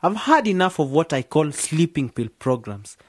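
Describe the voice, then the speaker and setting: An actor playing an outspoken, practical young club member in a radio drama, with a frank, questioning manner. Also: male voice, fairly high pitch, big pitch swings, moderate pace, no room sound, studio quality.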